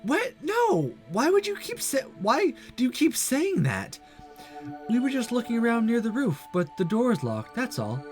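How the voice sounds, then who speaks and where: A man's voice: very high voice, wildly swooping pitch, moderate pace, darkish tone, soft background music, pitched above the performer's own voice, exaggerated voice. A man voicing an easygoing teenage boy in a visual novel, with a mild, reassuring manner. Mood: flustered.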